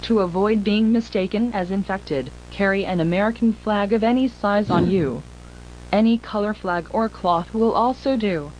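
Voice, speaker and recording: A woman playing a stern emergency broadcast announcer in an analog horror video, reading grave warnings in a formal, impersonal, measured way. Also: medium pitch, darkish tone, highs cut off, slight background noise, lightly acted. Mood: urgent warning.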